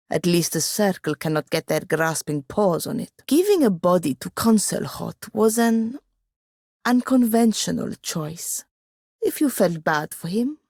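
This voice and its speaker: A woman's voice: on the low side, gravelly, a little mellow, breathy edge, dry recording, full character voice. A woman playing a proud, sardonic young woman wizard in a video game, speaking formally with dry wit. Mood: teasing. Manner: dry, wry, formal phrasing. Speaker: game companion character. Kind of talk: scripted video game dialogue.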